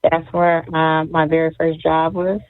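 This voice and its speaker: A woman's voice: sits low, flat delivery, measured pace, dry recording. A woman from Jamaica who grew up in Las Vegas, answering casually as an interview guest. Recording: dry, thin phone-like audio.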